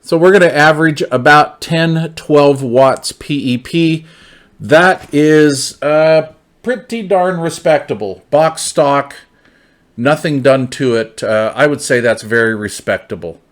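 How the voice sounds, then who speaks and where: A man's voice: fairly high pitch, slow. A man reviewing gear hands-on in a casual, informal, folksy way, often repeating his phrases.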